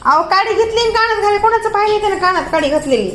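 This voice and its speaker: A very high-voiced woman with a rural Marathi accent talks to her toddler in a lively, swooping way. She scolds and coaxes in short, repeated commands. The home recording has clear background noise. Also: racing. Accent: Marathi, rural Maharashtra. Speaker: mother filming her toddler for a family vlog. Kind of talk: casual home chat with a small child. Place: indoors at home. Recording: noisy home phone recording.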